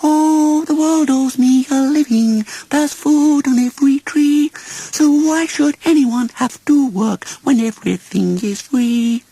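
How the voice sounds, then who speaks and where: A man's voice: very high-pitched, slow, mellow, dry recording, soft background music, pitched above the performer's own voice, exaggerated voice, low-quality recording. A man voicing a carefree, cocky grasshopper in a storybook, with a jaunty, singsong, teasing delivery. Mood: carefree singing. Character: carefree, cocky male grasshopper, singing idler.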